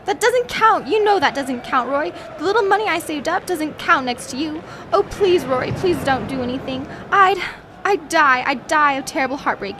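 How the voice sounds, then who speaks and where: Anxious American sweetheart, high voice, urgent, pleading, melodramatic, noisy vintage radio recording. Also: female voice, expressive, normal speed. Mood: desperate pleading. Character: fearful, devoted girlfriend of a boxer, emotional and imploring. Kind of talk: 1940s radio acting.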